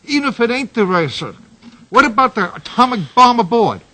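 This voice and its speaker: A man's voice: extremely high pitch, very animated, a little fast, pushed and bright, full character voice. A man playing a hot-headed, anxious barroom regular in a radio drama, coarse and combative. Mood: alarmed.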